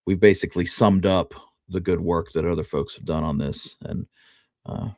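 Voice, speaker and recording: A man's voice: sits low, normal speed, a little mellow, no room sound, clean studio sound. A man co-hosting a casual history discussion, talking conversationally to his partner and viewers. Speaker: podcast co-host.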